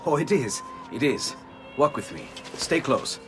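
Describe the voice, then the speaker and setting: Brief: eager inventor, lively pitch, loud score behind. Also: male voice, measured pace, far from the mic, over music. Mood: excited. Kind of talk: video game cutscene acting.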